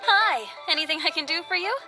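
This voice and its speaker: A young, businesslike shopkeeper, speaks politely in a high voice. It is a video game performance with clear music underneath. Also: female voice, fairly quick. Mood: courteous.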